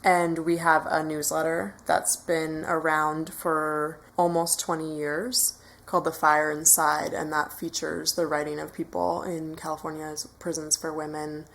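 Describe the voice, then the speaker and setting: A woman speaks as a volunteer interview guest in a low-pitched voice. She explains step by step in informal language. There is faint noise behind her. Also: flat delivery, measured pace, gravelly, few pauses, fairly bright tone, rough recording.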